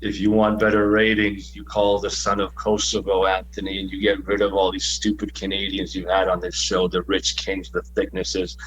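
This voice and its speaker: A man voicing an arrogant, aggressive male wrestling heel, deeper and ruder than his own voice, with deliberate pauses. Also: completely flat delivery, a bit bright, slight background noise, rough recording. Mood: menacing.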